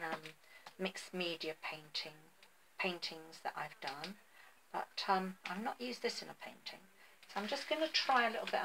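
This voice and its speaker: A woman's voice: fairly low pitch, noisy background. A middle-aged woman giving a casual craft tutorial, thinking aloud with many ums while she paints at a table, recorded on a phone. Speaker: artist demonstrating garden ornament painting. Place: indoor work table.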